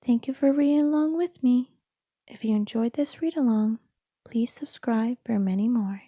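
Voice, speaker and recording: A woman's voice: on the high side, normal speed, warm tone, breathy edge, no room sound, studio quality. A woman narrating a children's storybook as a read-aloud. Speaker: storybook reader.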